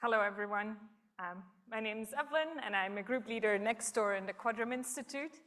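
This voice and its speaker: A woman's voice: on the high side, a little fast, background noise. A woman in her thirties from Belgium giving a public science talk, explaining things step by step with frequent ums.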